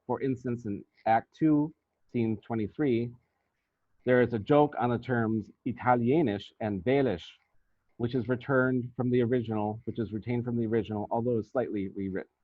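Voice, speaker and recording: A man presenting academic research as a scripted lecture, reading formally and deliberately. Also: medium pitch, fairly even intonation, no room sound. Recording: thin, phone-like band-limited audio.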